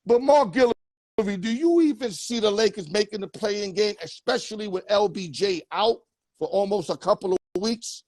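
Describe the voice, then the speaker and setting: A man's voice: sits high, normal speed, darkish tone, no echo, clean studio sound. A man hosting a casual sports talk show, loose and joking, full of street slang. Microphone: headset or earpiece.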